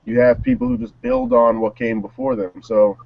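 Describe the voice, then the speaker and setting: A man in a casual panel discussion, talking in a measured, reflective way. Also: medium pitch, wildly swooping pitch, vocal fry, no room sound, muffled recording. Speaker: panelist on a music talk show.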